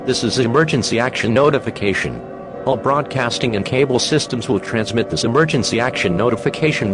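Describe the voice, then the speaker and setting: A man with a mid-pitched voice reads a scripted emergency alert in a steady, formal announcing manner. Music plays clearly behind his voice.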